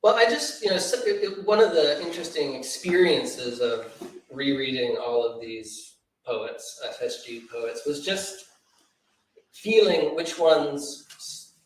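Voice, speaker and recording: Man in a very echoey room. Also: fairly high pitch. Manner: thoughtful, halting, rephrasing as he goes. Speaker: anthology editor on a discussion panel. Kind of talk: unscripted literary talk to an audience. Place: large indoor library room at a live event. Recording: echoey room, distant mic.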